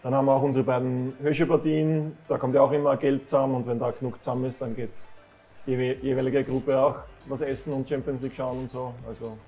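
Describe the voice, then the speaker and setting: An Austrian man in his thirties sits in a club café and answers interview questions. He sounds calm and unassuming, speaks at a middle pitch, and keeps his sentences plain. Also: fast talker, faint music behind. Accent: Austrian German. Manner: calm, modest, plain-spoken. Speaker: professional footballer, guest. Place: football club café with shelves, indoors.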